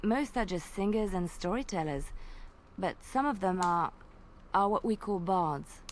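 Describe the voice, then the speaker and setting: A woman voicing a young female bard and former spy in a video game, speaking in a soft, lilting way and lingering on detail. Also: medium pitch, lively intonation, measured pace, slight background noise, lightly acted. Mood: teasing. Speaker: game companion character.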